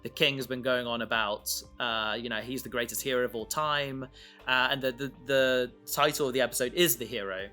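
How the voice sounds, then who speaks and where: A man in a casual podcast discussion, explaining his creative work in a halting way, thinking aloud. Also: medium pitch, steady tone, bright, forceful tone, faint music behind. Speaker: audio drama creator.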